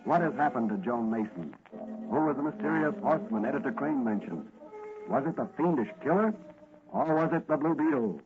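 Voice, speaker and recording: An actor narrating a radio serial in a dramatic, suspenseful 1940s style. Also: male voice, mid-range voice, expressive, fairly quick, over music, lightly acted. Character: melodramatic male radio serial announcer. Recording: noisy, tinny old radio recording.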